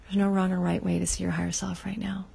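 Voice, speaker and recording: A woman guides a meditation in a heavily gravelly, breathy voice. She speaks softly and slowly, often sinking into a half whisper. Her pitch stays flat and even throughout. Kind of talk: guided inner-child meditation.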